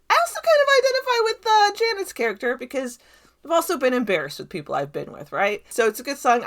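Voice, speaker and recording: A woman's voice: medium pitch, fairly bright tone. A woman giving casual, unscripted film commentary to viewers in a chatty, rambling, self-deprecating way. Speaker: video essayist reviewing a film.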